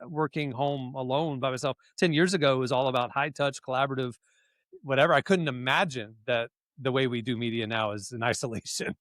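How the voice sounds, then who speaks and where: A man with a middle-pitched voice hosts a podcast, reading listener questions in a relaxed, joking manner. His voice is recorded close and dry. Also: fairly quick, no room sound. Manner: relaxed, chatty, joking, with fillers. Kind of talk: casual chat.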